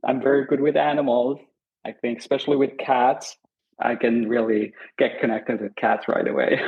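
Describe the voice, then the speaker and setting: Husky-voiced Iranian man, earnest and warm. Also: medium pitch, little pitch movement, moderate pace, narrow, tinny audio. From Iran. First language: Azerbaijani Turkish, Kurdish and Farsi. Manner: thoughtful, earnest, repeats words for emphasis, laughs easily. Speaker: lighting designer, guest. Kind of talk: podcast interview.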